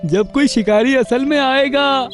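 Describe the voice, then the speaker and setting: Man voicing a high-pitched mischievous young cartoon deer. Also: measured pace, faint music behind. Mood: gleeful mocking. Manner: gleeful, mocking, taunting. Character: mischievous lying young deer prankster, male. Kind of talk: Hindi cartoon moral story voice acting.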